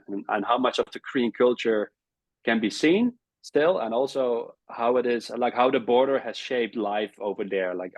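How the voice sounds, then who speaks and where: Dutch man, lowish voice, dry close sound, halting interview guest. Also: no echo. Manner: hesitant, repeats words while searching for phrasing. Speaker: guest, travel video creator. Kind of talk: casual interview talk.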